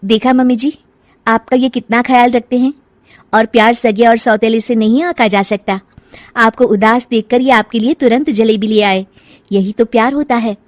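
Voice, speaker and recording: A woman's voice: fairly high pitch, fairly even intonation, moderate pace, dry recording, lightly acted. A woman voicing a caring, cheeky young daughter-in-law in a cartoon story, coaxing and pointed. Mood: gently persuading. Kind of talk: cartoon family story dialogue.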